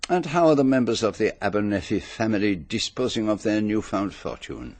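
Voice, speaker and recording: An actor playing a shrewd, courtly detective with a Belgian French accent, precise and formal, in a radio drama. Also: male voice, fairly low pitch, animated, brisk, darkish tone. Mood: amused, musing.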